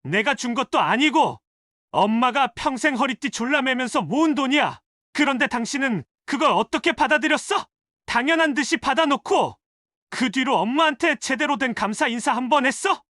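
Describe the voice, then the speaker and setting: An actor as an indignant grown son in a family audio drama, pitched strikingly high and bright. He scolds his wife with accusing rhetorical questions, in a very dry recording. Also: expressive, normal speed, no room sound. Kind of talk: dramatized family story dialogue.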